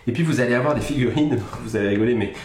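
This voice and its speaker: A man co-hosting a casual board game podcast, chatty and self-mocking, talking in quick bursts. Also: medium pitch, darkish tone, slight background noise. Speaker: podcast co-host. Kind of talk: casual chat about board games. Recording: echoey room.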